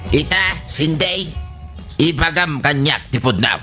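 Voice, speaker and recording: A man's voice: fairly high pitch, lively intonation, unhurried, over music. A man playing an exasperated, scolding father in a comedy radio drama. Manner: scolding, emphatic.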